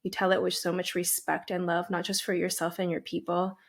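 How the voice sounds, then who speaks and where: A woman hosting a podcast interview, speaking earnestly and emphatically, slowing down to stress key words and often checking in with "right?". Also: lowish voice, little pitch movement. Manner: frequent "right?" and "um". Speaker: podcast host. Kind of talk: heartfelt interview conversation.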